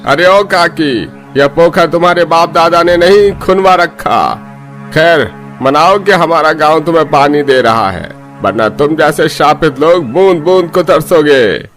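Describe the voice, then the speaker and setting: A man's voice: fairly high pitch, measured pace, fairly bright tone, soft background music. A man voicing a haughty, bullying village pond watchman who scolds with scorn in a cartoon. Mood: haughty scolding.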